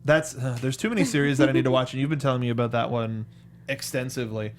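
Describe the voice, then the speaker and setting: A man's voice: mid-range voice, steady tone, fast talker. A man co-hosting a casual film review chat, acting out reactions in quoted asides. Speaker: co-host of a film review show.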